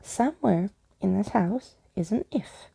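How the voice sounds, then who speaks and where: A woman's voice: mid-range voice, animated, unhurried, creaky, dark tone, slightly rough, full character voice. A woman voicing a courtly, eccentric male skeleton from a storybook, with a pompous, old-fashioned manner. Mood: grandly declaring.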